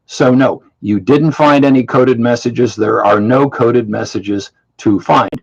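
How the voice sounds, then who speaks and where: A man's voice: medium pitch, husky. A man in his seventies hosting an online question session, talking bluntly and dismissively and often repeating himself. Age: 70s. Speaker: host. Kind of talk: casual monologue to an online audience. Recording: muffled lo-fi audio.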